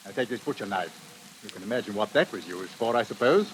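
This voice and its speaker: An actor playing a chatty, boastful police inspector with morbid tastes in a 1940s radio thriller. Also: male voice, on the low side, animated, quick pace, close to an everyday voice, poor audio. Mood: genial, gloating. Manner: chatty, hesitant, gloating. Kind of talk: 1940s radio suspense acting. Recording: hissy vintage radio recording.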